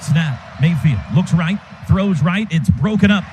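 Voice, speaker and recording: A man doing live play-by-play radio commentary of a football game from a stadium booth, in rapid clipped calls that surge on big plays. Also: high voice, darkish tone. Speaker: play-by-play announcer. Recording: noisy live stadium broadcast.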